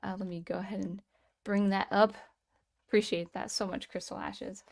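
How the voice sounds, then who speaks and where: A woman's voice: mid-range voice, steady tone, moderate pace, gravelly. A woman hosting a casual live stream, chatting and thanking viewers by name, with a slightly strained voice. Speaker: livestream host.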